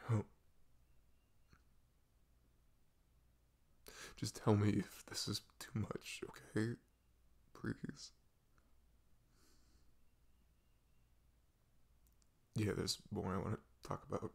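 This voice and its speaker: A man playing a depressed boyfriend opening up in an intimate ASMR roleplay, speaking haltingly and hushed, with a husky, gravelly, breathy voice. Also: fairly low pitch, flat delivery. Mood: apologetic, fragile. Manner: halting, hushed, sighing, long pauses. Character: depressed, vulnerable boyfriend confiding long-hidden grief.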